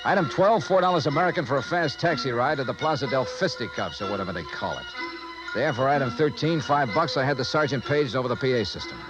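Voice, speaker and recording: An actor playing a dogged, wry insurance investigator in 1950s radio noir style, with clipped delivery. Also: male voice, medium pitch, expressive, quick pace, lightly acted. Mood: dry narration. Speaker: lead actor and narrator. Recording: vintage radio drama with music.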